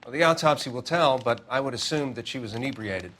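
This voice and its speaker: Actor as clinical, composed coroner. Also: male voice, medium pitch, animated, fast talker, fairly bright tone, a little hiss or hum, close to an everyday voice. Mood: clinical, detached. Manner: precise, detached, formal. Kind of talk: video game voice acting.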